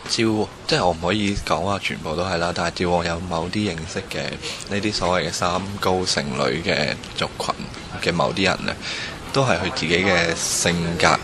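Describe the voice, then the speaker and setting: A man's voice: low voice, a little fast, few pauses. A man with a Hong Kong Cantonese accent giving hesitant, roundabout answers in an unscripted interview. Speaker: street interviewee. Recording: noisy location with ambient sound.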